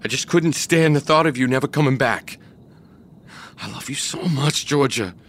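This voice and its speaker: A man's voice: low-pitched, normal speed, fairly bright tone. An actor playing a possessive, volatile man who swings between whispered threats and desperate pleading. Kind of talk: audio drama acting.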